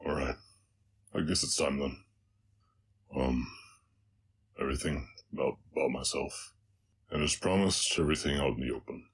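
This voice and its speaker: A man playing a brooding, kind half-orc cowboy with a Southern US accent, speaking in halting, sighing, earnest phrases in an ASMR western romance roleplay. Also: very deep voice, slight background noise. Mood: nervous, resigned.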